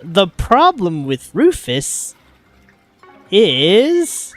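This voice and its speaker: A sniffly man talking through a game with a bouncy, lively pitch that sits a bit high, taking his time over each thought. He swears casually and laughs a lot between points. A little music plays faintly under his dry, close voice. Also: drawn-out words. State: sniffly, coming down with a cold. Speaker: streamer.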